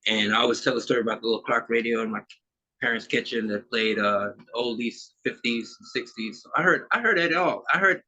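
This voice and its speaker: A man's voice: mid-range voice, brisk, pushed and bright. Trinidad-raised guy in his 50s rambling easy in an interview, kinda muffled lo-fi audio. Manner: easygoing, rambling with many fillers. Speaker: musician guest. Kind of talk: casual interview chat.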